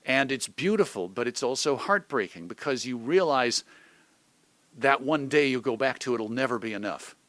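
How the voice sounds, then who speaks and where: A middle-aged man with an American accent telling stories conversationally as an interview guest, reflective and a little halting. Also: medium pitch, expressive, fairly bright tone, no echo. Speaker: radio host being interviewed.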